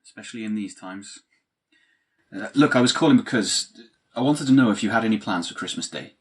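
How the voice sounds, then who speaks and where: A man's voice: on the low side, fairly quick, breathy edge. A man acting a patient but exasperated straight-man best friend, wry and long-suffering, in a comedic audio play. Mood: concerned.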